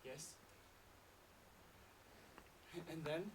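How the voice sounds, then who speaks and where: A woman playing a stern, earnest dead man trapped in hell, probing and deliberate in a theatrical stage-drama style. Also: low-pitched, lightly acted. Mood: cold probing. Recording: echoey room, distant mic, clear noise.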